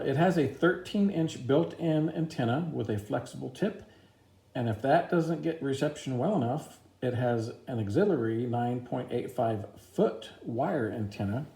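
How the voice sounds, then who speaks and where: A man's voice: medium pitch, gravelly, dark tone. A man giving a casual, informal product review, explaining features plainly step by step.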